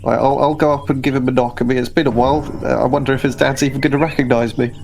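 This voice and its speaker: A man's voice: medium pitch, fairly even intonation, very fast, vocal fry, darkish tone. A man playing a tabletop roleplaying game, talking casually in and out of character. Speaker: player.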